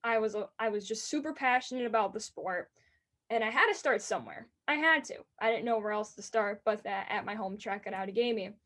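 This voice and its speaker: An eighteen-year-old woman with a high-pitched voice, earnestly answering interview questions about racing announcing. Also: a bit bright. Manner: earnest and enthusiastic, quick bursts.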